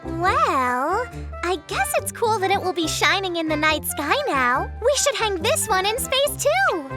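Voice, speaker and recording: A woman voicing a sweet, sensible young female fox in a children's cartoon, speaking as a gentle peacemaker. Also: extremely high pitch, big pitch swings, a little slow, music in the background, exaggerated voice. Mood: mildly curious.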